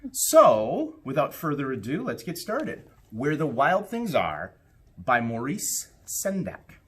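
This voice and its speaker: A man's voice: mid-range voice, a little hiss or hum. A man, a school principal, talking to children and reading a storybook aloud expressively. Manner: expressive, deliberate storytelling with pauses.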